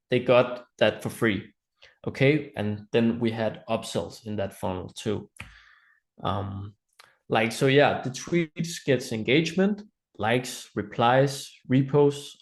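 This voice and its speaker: A man's voice: mid-range voice, slow, creaky. A man with a Danish accent presents a live online training in an informal, explanatory way, often checking in with listeners. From Denmark.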